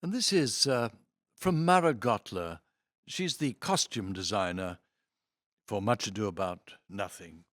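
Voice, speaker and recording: A man reads programme notes aloud with a theatrical flourish, his pitch moving freely from phrase to phrase. He phrases things deliberately and leans into key words for emphasis. The recording is close and dry. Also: animated, a bit bright, no room sound. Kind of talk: expressive read-aloud of programme notes with brief asides.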